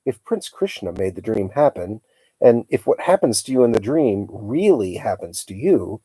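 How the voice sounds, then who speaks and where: A man's voice: lowish voice, big pitch swings, normal speed, dark tone, breathy edge, no room sound, low-quality recording. A man reading the part of an earnest, puzzled young boy in a storybook, questioning as he works things out.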